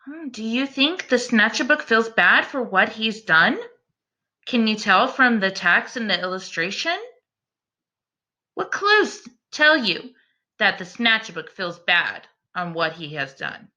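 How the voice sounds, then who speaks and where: A woman teacher doing an expressive children's read-aloud, speaking in an encouraging way aimed at young listeners. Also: mid-range voice, unhurried. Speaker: teacher reading a picture book aloud.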